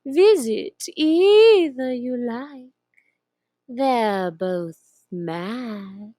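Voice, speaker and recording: A mischievous grinning cat from a storybook, as a woman reads it aloud, drawls each word slowly and teasingly. The voice swoops up and down with lively pitch. The sound is close and dry. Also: no echo. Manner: slow, drawn-out, teasing delivery. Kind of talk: storybook reading.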